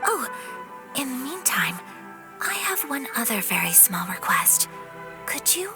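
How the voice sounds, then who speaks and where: A woman's voice: high voice, very animated, exaggerated voice. Courteous young noblewoman. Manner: very polite, measured formal speech. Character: poised, formal young noblewoman. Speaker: noble clan lady. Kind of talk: video game voice acting. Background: game music.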